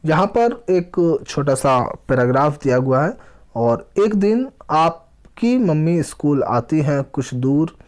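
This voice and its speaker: A man teaching a children's language lesson, reading aloud and explaining, deliberately pausing and repeating words and phrases. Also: a little high, sing-song, darkish tone.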